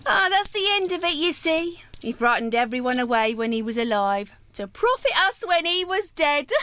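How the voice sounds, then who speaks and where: A woman's voice: high voice, big pitch swings, takes it slowly, long pauses, noisy background, exaggerated voice. A woman playing a callous, gloating scavenger in a radio play, with a brash, theatrical delivery. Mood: brazen, gloating. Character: brazen, callous scavenging charwoman selling stolen goods. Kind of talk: theatrical radio drama acting.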